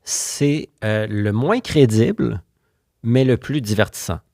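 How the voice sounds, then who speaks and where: Man, Quebec French accent, gravelly fry, dry close sound, casual joking podcast host, hesitant phrasing. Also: medium pitch, slow, mellow, no room sound. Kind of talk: casual humorous podcast talk.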